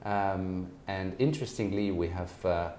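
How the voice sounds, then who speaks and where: A man in his forties with a French accent answers interview questions in a low, mellow voice. He phrases things carefully and hesitantly, and the room adds a noticeable echo. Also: fairly even intonation, a little slow. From France. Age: 40s. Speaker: company managing partner, interview guest. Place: office, indoors. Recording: roomy echo, faint background noise.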